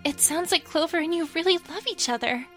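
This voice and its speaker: A woman voicing a gentle young pony princess in a fan audio drama, hesitant, apologetic and stammering. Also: extremely high pitch, brisk. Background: music.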